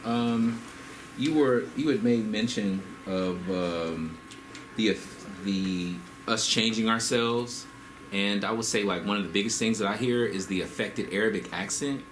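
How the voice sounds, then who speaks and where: A man in an audience asking a spontaneous question, halting as he feels for words. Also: lowish voice, poor audio. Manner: hesitant, halting, feeling for words. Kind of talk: spontaneous question at a live talk. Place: indoor hall, in the audience. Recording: faint background noise.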